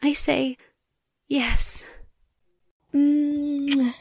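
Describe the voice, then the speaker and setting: A woman's voice: high-pitched, flat delivery, extremely slow, a little mellow, dry recording, full character voice. A woman playing an emotional, earnest young heiress in a 1940s-style radio drama. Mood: tender, happy.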